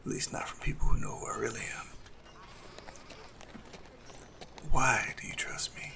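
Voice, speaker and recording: Man acting gravelly, suave lovestruck assassin. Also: medium pitch, huge pitch swings, quick pace, in a whisper. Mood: enchanted, earnest. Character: lovestruck male assassin, suave, cunning, tenderly courting a noble. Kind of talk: romantic audio roleplay acting addressed to the listener.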